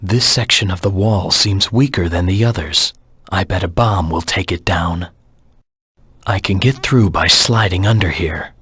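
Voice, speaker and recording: An actor playing a cold, determined anti-hero hedgehog in a video game, speaking in a terse, serious, deliberate way with a husky, gravelly voice. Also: male voice, low voice, fairly bright tone, no room sound, clean studio sound, full character voice. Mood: calculating. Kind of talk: video game character voice lines.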